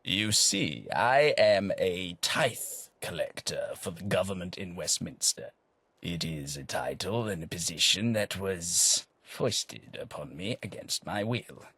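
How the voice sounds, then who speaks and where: An actor playing a fussy, polite gentleman tax collector in a video game, with a wordy, formal and genteel manner. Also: low-pitched, animated, a little slow, slightly rough, dry recording, exaggerated voice. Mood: polite, weary. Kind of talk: video game character dialogue.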